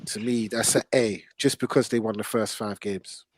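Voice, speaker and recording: A man's voice: lowish voice, steady tone, moderate pace, dry recording. A man hosting a casual football discussion, blunt and opinionated and full of slang. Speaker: football podcast host.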